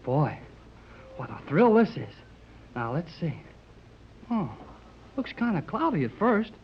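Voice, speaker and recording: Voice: medium pitch, big pitch swings, unhurried. An actor playing an eager, loyal teenage boy adventurer in a 1930s radio drama, with slangy enthusiasm. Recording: muffled, noisy 1930s radio recording.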